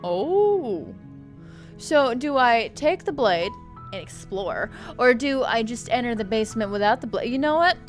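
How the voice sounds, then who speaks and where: A woman's voice: fairly high pitch, animated, a little slow, soft background music. A young adult woman streaming a game with casual commentary, rambling and joking with stammering restarts.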